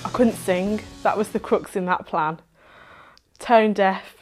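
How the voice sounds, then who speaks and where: A woman's voice: mid-range voice, slow pace, music in the background. A woman in her twenties who works as a nurse, speaking in a documentary interview in an upbeat, matter-of-fact way. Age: 20s.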